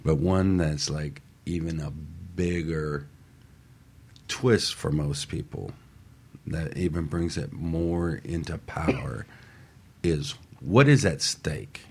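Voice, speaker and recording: Really deep gravelly guy, husky, casual pep talk on a radio show, punchy repeated phrases. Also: takes it slowly, darkish tone.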